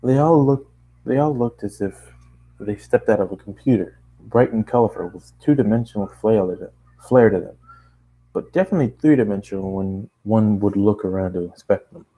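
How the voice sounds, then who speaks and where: A man narrating a first-person story as an amateur reader, halting now and then to correct his phrasing. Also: lowish voice, dark tone, poor audio.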